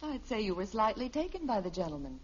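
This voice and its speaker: A woman's voice: medium pitch, very animated, quick pace, slightly rough. An actress playing a patient, drily amused middle-aged wife and mother in a 1950s radio sitcom. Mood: patient, concerned. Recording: dull, noisy vintage radio recording.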